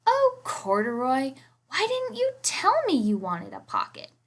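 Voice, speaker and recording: An earnest little girl from a picture book, acted by a woman. She speaks in a high, very animated voice, full of affection and expressive exclamation. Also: unhurried, heavily acted. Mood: fond scolding. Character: affectionate, earnest little girl attached to her teddy bear.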